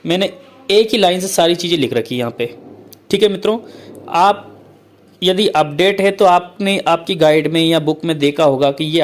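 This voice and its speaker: A male teacher who explains a letter format step by step, repeats points often and reads English lines out slowly. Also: a little high. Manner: repetitive, keeps saying "okay, friends".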